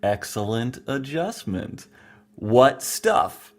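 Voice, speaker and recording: A man's voice: medium pitch, big pitch swings, drawn-out words, exaggerated voice. A man playing a playful, pedantic xenobiologist in an audio drama, teasing and quizzing in a precise, professorial manner. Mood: professorial, enthusiastic.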